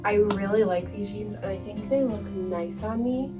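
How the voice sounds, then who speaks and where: A woman's voice: medium pitch, normal speed. A woman in her twenties chatting casually as a lifestyle vlogger, her voice still a little worn from being sick, with lots of "like" fillers. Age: 20s. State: recovering voice after illness. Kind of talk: casual vlog chat. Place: bedroom at home. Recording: distant mic with guitar music behind.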